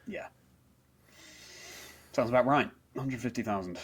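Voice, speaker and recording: A man's voice: medium pitch, unhurried, husky. A man hosting an interview chat, speaking in an effusive, rather formal and wordy way. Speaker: podcast host interviewer.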